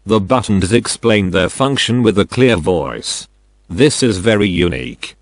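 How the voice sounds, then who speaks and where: An older man who is a non-native English speaker, giving a deliberate, scripted step-by-step product demonstration. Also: fairly low pitch, expressive, dry recording, clean studio sound. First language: not English. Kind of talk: scripted instructional narration.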